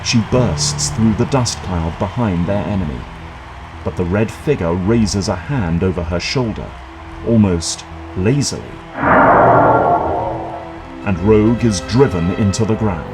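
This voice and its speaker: A man reading scripted dramatic narration for an audio drama in a measured, suspenseful manner. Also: deep voice, over loud music, rough recording.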